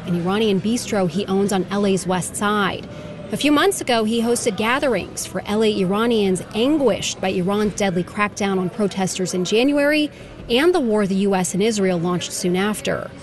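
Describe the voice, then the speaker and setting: A woman reporter narrating a scripted radio news story in a measured, even delivery. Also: medium pitch.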